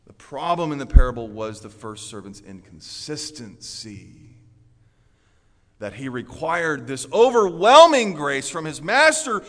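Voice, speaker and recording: An American man delivers a sermon in a reverberant hall. His voice sits a bit high for a man, and he speaks as a pastor, earnest and emphatic with his congregation. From Orlando, Florida, United States. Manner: earnest, emphatic, swinging from forceful declarations to quieter storytelling.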